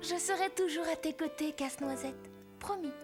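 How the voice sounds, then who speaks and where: A woman as a very high-voiced, tender little girl. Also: moderate pace, music in the background, close to an everyday voice. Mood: sad, tender. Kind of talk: French-dubbed cartoon voice acting.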